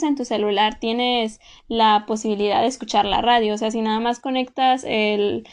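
A young woman in her twenties with a Monterrey Mexican accent, casually recounting her time as an exchange student, talking conversationally with lots of fillers. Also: fairly high pitch. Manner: conversational, frequent "pues" and "o sea" fillers. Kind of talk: casual first-person account.

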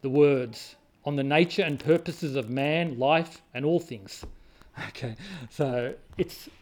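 A man's voice: fairly high pitch, takes it slowly. A man leading a study group, reading passages of a religious text aloud and explaining them in an informal, hesitant way with many ums and restarts. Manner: informal and conversational. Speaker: study circle leader.

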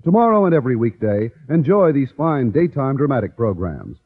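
A man's voice: medium pitch, big pitch swings, brisk. A man with an American accent reading a scripted 1950s network radio promo as the announcer. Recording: tinny, phone-like vintage radio recording.

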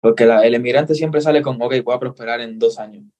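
A man with a Cuban accent talks as an interview guest. His voice sits at an ordinary middle pitch. He speaks earnestly, repeating phrases and dropping in friendly asides. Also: brisk, darkish tone. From Cuba. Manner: earnest, repeats himself, says brother. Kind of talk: casual interview.

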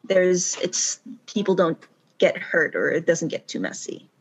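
A woman's voice: lowish voice, measured pace, slightly rough. A woman co-hosting a podcast, talking casually in a rambling, self-correcting way and laughing easily. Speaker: podcast co-host. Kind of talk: casual chat. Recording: dull lo-fi recording.

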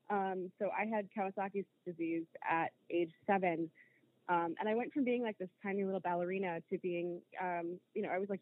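An American woman in her thirties from Rhode Island talks casually and candidly as an interview guest, often pausing to find words. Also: medium pitch, narrow, tinny audio. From Rhode Island, United States. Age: 30s. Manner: informal, candid, with hesitant pauses and hedging. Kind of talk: casual personal conversation.

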